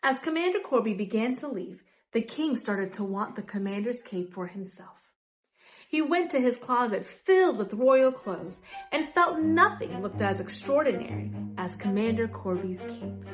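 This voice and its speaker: A woman narrating a children's story as its storyteller, with dramatic, emphatic delivery that leans on descriptive words. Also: on the high side.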